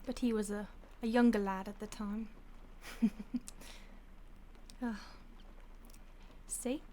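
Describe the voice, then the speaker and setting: A woman voicing a mocking, predatory female vampire stalker who talks down to her victim, soft-spoken and slipping into whispers. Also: mid-range voice, fairly even intonation, slow pace, a little hiss or hum, natural voice. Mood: wistful, then menacing. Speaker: audio roleplay voice actor. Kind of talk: audio roleplay acting.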